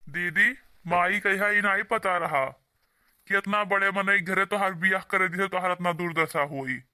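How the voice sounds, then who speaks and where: Man playing an indignant village brother, high and piercing. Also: moderate pace, bright, forceful tone, no room sound. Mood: indignant, urging. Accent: Awadhi. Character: protective, indignant younger brother from a poor village. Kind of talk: cartoon dialogue.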